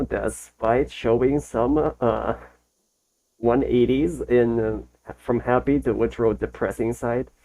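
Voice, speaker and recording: A reaction video host giving casual commentary in short, halting phrases, thinking aloud. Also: mid-range voice, mellow, low-quality recording.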